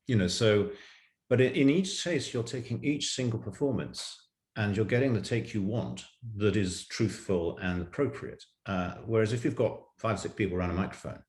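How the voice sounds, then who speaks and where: A man's voice: fairly low pitch, normal speed, a little mellow. A man talking conversationally as an interviewed audio drama producer. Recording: roomy, echoey remote recording.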